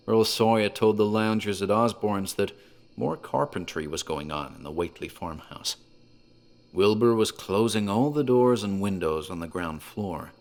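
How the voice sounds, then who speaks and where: A man playing a talkative rural tavern landlord, telling a dark local horror tale in a measured, dramatic way with pauses. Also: lowish voice, natural voice.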